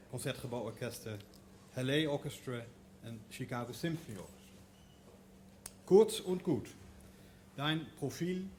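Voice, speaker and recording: A man's voice: medium pitch, darkish tone, slight background noise. Dutch man making a formal speech. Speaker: orchestra organization director giving a speech. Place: hall at a contract signing ceremony.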